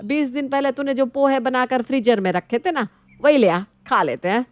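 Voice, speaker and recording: Woman acting a fussy older mother-in-law, high-voiced. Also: normal speed, smooth, no room sound, natural voice. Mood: dismissive. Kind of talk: Hindi animated family comedy story.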